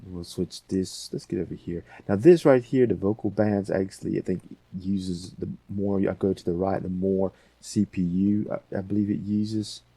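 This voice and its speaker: Low, mellow man teaching software casually. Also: steady tone, normal speed, few pauses, slightly rough, no room sound. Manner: rambling, thinking aloud. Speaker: tutorial host. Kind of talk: casual software tutorial, talking through steps.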